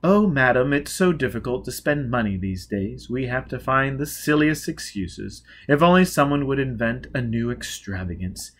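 A man voicing a witty upstart playboy, suave and flippant, charming high society in a novel reading. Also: lively intonation, normal speed, hardly any pauses, fairly bright tone. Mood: breezy.

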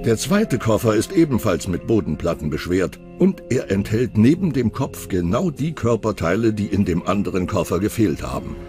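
A man narrates a true crime documentary from a script. His voice sits fairly low and moves widely in pitch. Music plays clearly behind him. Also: very animated, normal speed, hardly any pauses. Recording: music under the voice. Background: background music.

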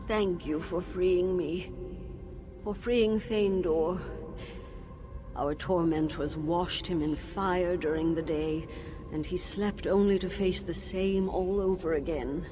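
A woman voicing the shade of an elven mage teacher, regal and sorrowful, who turns stern and shrill when she scolds. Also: fairly even intonation. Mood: solemn, grateful. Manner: solemn, measured and regal; shrill scolding in memories. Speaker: video game character. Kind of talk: game cutscene voice acting. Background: game music.

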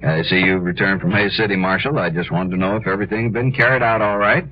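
A man's voice: deep voice, fairly even intonation, fast, close to an everyday voice. An actor playing a creepy, self-important, nagging little man in a 1950s radio western, prying and insistent. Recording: dull, hissy old 1950s radio recording.

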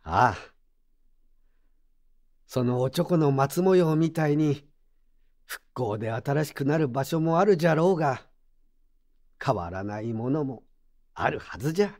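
An actor playing a gruff but kindly old craftsman in an audio drama, with rustic, plain-spoken elderly speech. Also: mid-range voice, animated, a little slow, pauses often, darkish tone. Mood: calm, kindly. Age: older. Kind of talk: Japanese audio drama acting.

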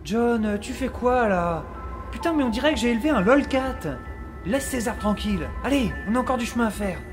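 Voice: mid-range voice, lively intonation, moderate pace, close to an everyday voice. An actor playing a cocky, exasperated young wasteland hero, sarcastic, slangy and theatrical, in an audio saga. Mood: weary, scolding. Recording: loud music bed under the voice.

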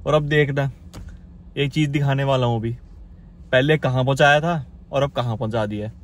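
A young man chats casually to camera as a vlogger, telling his day in an animated, chatty way. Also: medium pitch, moderate pace. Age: young adult. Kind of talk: casual vlog chat to camera. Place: car, street and home. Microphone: phone at arm's length. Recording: noisy handheld vlog with car sound.

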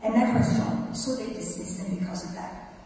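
A woman's voice: fairly low pitch, moderate pace, mellow. A woman preaching a conversational sermon at a church lectern, asking the congregation questions. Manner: conversational, rhetorical questions, emphatic. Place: large church sanctuary. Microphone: lectern mic. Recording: echoey hall from far off, faint noise.

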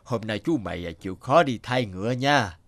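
A man voicing a lazy, sly horse in an animated folk tale, with a smug drawl. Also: on the low side, normal speed, dry recording.

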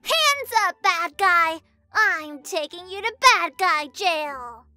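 A cute little kitten, eager and playfully bossy in a cartoon. The voice is squeaky-high and swoopy, over faint music. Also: female voice, animated, takes it slowly, pushed and bright, heavily acted. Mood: bossy play. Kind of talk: comic dub character acting.

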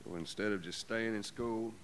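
An actor playing a gruff, weary middle-aged smuggler in a video game, terse and dry with a gravelly voice. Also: male voice, lowish voice, fairly even intonation, normal speed, a bit bright, slight background noise, natural voice. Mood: skeptical.

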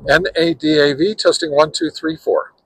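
A man in his sixties hosting a casual radio-testing vlog, talking in a relaxed, halting way with frequent pauses and restarts, and counting off test calls in a steady cadence. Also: fairly high pitch, slightly rough. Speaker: ham radio channel host.

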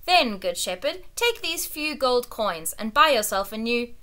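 A woman's voice: fairly high pitch, very animated, a little slow, bright, forceful tone, voice pushed up, full character voice. A woman voicing a kindly but vain young king who speaks in a courtly, impatient way. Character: young king, kindly yet selfish, hungry.

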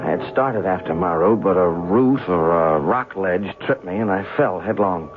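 An actor playing a skeptical, wry American journalist in a 1970s radio drama, speaking colloquially. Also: male voice, deep voice, normal speed, loud music behind the voice, natural voice. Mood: narrating, tense. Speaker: actor in a radio drama, also narrating as the character. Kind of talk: 1970s radio drama acting with first-person narration. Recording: noisy, tinny 1970s radio broadcast.

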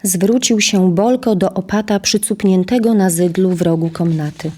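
A woman narrating a scripted audio drama as storyteller, in a measured, literary manner. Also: mid-range voice, dark tone.

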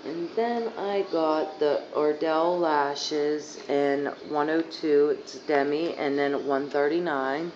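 A young woman with a hoarse, fading voice rambling casually through a makeup haul, full of fillers, filmed on a phone on her living room couch. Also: sits low, slow, few pauses, a little mellow. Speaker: beauty vlogger. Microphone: phone camera at arm's length. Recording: dull lo-fi phone audio, faint hiss.

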